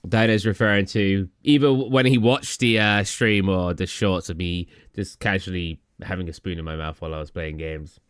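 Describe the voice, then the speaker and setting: A man's voice: sits low, steady tone, moderate pace, few pauses, fairly bright tone, clear, resonant tone, no echo, studio quality. A young man from England, chatting casually on a live stream and answering chat questions in a tired, rambling way. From southern England. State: tired, low energy.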